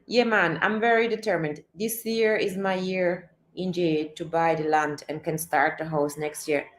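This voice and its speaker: A woman chatting casually on a livestream, reading her chat and urging viewers to chase their dreams, animated and repeating herself often. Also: fairly low pitch, normal speed, echoey room, slight background noise. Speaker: vlogger reading live chat.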